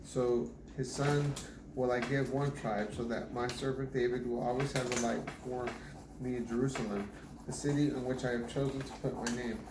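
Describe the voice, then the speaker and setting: A man reads scripture aloud at home, keeping an even, unvaried tone and restarting whenever he trips over a name. His voice sits at a middling pitch. The room is echoey, and steady background noise runs under the reading. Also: moderate pace. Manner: stumbles and restarts on hard names. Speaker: Bible reader.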